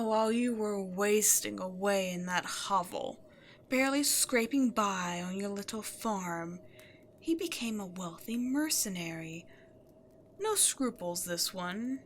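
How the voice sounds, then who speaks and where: Haughty, slow-speaking demon queen played by a woman. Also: medium pitch, a bit bright, a little hiss or hum, lightly acted. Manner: theatrical, breathy asides, haughty. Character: imperious demon queen, seductive, gloating, easily exasperated villain. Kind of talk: scripted ASMR audio roleplay addressed to listener.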